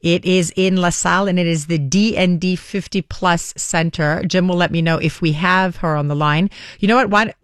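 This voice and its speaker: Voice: mid-range voice, fairly even intonation, normal speed, hardly any pauses, clear, resonant tone, no room sound. A radio host whose first language is Greek, chatting energetically on live radio from a studio and steering an interview.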